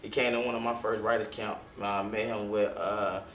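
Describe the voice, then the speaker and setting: A man's voice: lowish voice, level tone, a little fast, fairly bright tone, a little hiss or hum. A man hosting a casual interview, laid-back and slangy, nudging his guest along. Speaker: interviewer, host. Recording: echoey room with music behind.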